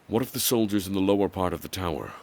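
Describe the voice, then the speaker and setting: An actor playing a bold, wary barbarian warrior in a fantasy audio drama, speaking in terse, blunt phrases. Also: male voice, sits low, quick pace, a little mellow.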